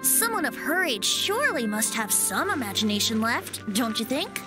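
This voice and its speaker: A woman's voice: a little high, sing-song, moderate pace. A woman voicing a playful, whimsical, youthful bard boy in a video game, teasing and musing as she talks. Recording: background music under voice.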